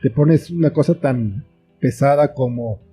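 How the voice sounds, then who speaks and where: A man with a very mellow, dark voice answers interview questions in a conversational way. He sits at an average male pitch and builds his thoughts reflectively, phrase by phrase. Faint music plays behind him. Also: unhurried. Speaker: guest, children's book author and screenwriter. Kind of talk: conversational interview, explaining with examples.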